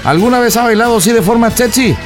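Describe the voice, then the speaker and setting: A man's voice: very high-pitched, quick pace, over music. A Mexican man hosting a prank call show, improvising comic banter in a mocking, teasing, slangy way.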